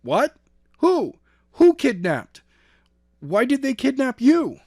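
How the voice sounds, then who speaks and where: A man's voice: very high-pitched, wildly swooping pitch, takes it slowly, darkish tone, breathy edge, no echo, clean studio sound, pitched above the performer's own voice, heavily acted. A man playing an emotional young martial arts student in a story, exclaiming urgently. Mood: urgent alarm.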